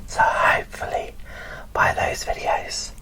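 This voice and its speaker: Slow whispering woman, breathy ASMR. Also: bright, forceful tone. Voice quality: breathy whisper with little voicing. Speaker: doll collector presenting an unboxing.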